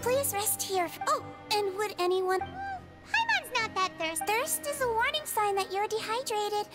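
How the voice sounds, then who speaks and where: A woman voicing a tiny, chatty, childlike fairy companion in a video game, chirpy and expressive. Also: very high-pitched, measured pace, full character voice. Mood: chatty, curious. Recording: game audio with music behind.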